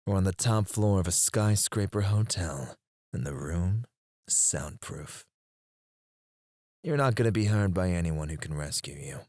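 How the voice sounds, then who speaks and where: Low-voiced, taunting male kidnapper, acted by a man. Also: no echo, clean studio sound. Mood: smug, mocking. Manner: taunting, drawling, dropping into hushed murmurs. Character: menacing, teasing male kidnapper interrogating a captive. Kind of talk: ASMR roleplay acting. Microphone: close studio microphone.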